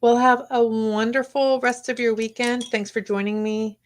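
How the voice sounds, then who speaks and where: A woman's voice: fairly high pitch, little pitch movement, normal speed, a little hiss or hum. A woman hosting a live craft stream, chatting casually as she works, sometimes whispering to her artwork, her voice a bit worn. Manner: chatty, talks to her artwork, drops to whispers while working. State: losing her voice, clearing throat. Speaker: craft channel host.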